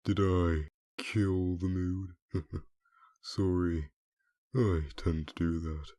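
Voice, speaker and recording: A man's voice: sits low, level tone, drawn-out words, mellow, no echo. A man playing a laid-back, wry, pun-loving skeleton reaper in a comic dub, with a dry, drawling delivery. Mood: wry, teasing. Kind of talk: fan comic dub voice acting.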